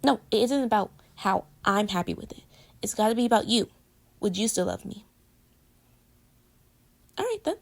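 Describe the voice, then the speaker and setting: Creaky-voiced, teasing girlfriend played by a woman. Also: medium pitch, animated, normal speed, pauses often. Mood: playful, curious. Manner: casual, teasing, conversational with giggles. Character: playful, teasing, affectionate girlfriend cuddling in bed. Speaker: audio roleplay voice actor. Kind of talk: intimate girlfriend roleplay, pillow talk.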